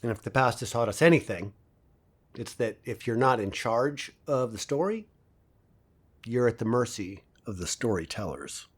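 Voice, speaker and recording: An earnest, pointed professor, lectures in a voice of middling pitch. His delivery is scripted audio drama, deliberate and didactic, leaning firmly on key words. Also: expressive, husky. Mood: grave, pointed.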